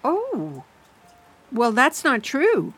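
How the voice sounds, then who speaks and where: A woman's voice: on the high side, huge pitch swings, very slow, fairly bright tone, husky, no echo, exaggerated voice. An actress playing an anxious, prying middle-aged mother in an audio drama, fussing and rambling as she talks. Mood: reassuring, earnest. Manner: fussing, rambling, hesitant when defensive. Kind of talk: naturalistic audio drama dialogue.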